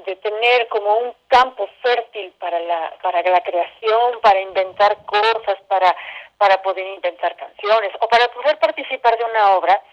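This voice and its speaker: A Mexican woman talks as a guest in a radio interview, over a dull telephone connection. Her voice sits at an average pitch, and she explains things conversationally, piling up examples and repeating phrases as she builds each point. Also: normal speed. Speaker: guest, arts educator and theatre company director. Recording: muffled, band-limited phone call.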